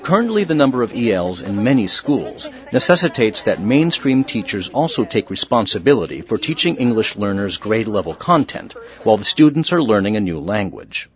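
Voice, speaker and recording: A man giving scripted documentary narration in a measured, informative manner. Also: fairly low pitch, big pitch swings. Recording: dull, muffled sound.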